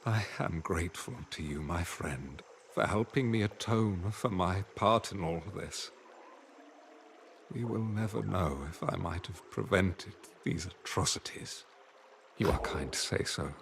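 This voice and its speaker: An actor playing a weary, honorable older man in a fantasy video game, speaking in a formal, solemn, measured way. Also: low-pitched, lively intonation, gravelly, a little hiss or hum, full character voice. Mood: solemn, remorseful. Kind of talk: scripted video game dialogue.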